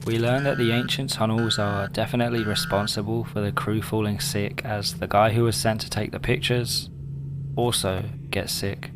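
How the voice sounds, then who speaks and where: A man narrating a scripted horror analysis video in a measured way, with pauses for effect. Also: fairly low pitch, fairly even intonation, background noise. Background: music.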